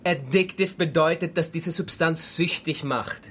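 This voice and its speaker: A man's voice: fairly high pitch, unhurried, fairly bright tone, a little hiss or hum. An actor playing a nervous, evasive male salesman in a radio play, stammering and agitated, turning defiant.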